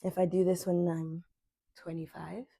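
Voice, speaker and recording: A woman in her twenties guiding a morning stretching class, cueing softly and unhurriedly as she moves. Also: fairly low pitch, flat delivery, moderate pace, vocal fry, dry recording, studio quality. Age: 20s. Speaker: yoga instructor. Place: sunlit living room with large windows.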